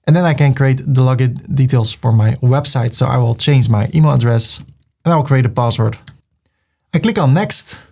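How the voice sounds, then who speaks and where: A man's voice: medium pitch, creaky, darkish tone. A man with a Dutch accent, from the Netherlands, giving a screen-recorded walkthrough step by step and reading the on-screen prompts aloud. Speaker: web tutorial host.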